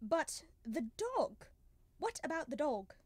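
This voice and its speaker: Young woman as protesting detective's companion, slow delivery, dry sound, indignant gentleman, old radio drama. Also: high-pitched, big pitch swings, dry recording, exaggerated voice. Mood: mildly indignant. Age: young adult. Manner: hesitant, protesting. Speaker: student actor. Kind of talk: 1930s-style radio drama acting.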